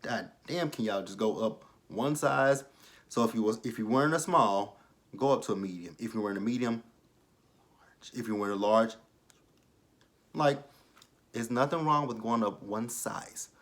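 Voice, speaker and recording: A young man with a somewhat low voice recaps a reality TV episode in loose, casual talk. He is blunt and swears freely, and he drifts into preachy side rants. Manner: blunt, profane, rambling, preachy asides. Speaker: YouTube reality-TV reviewer.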